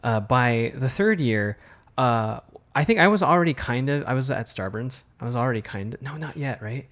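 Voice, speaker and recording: A man's voice: medium pitch, expressive, moderate pace, a bit bright. A man in his thirties co-hosting a casual podcast, talking things through thoughtfully. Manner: thoughtful, lots of fillers and restarts. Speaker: podcast co-host, artist. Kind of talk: casual chat.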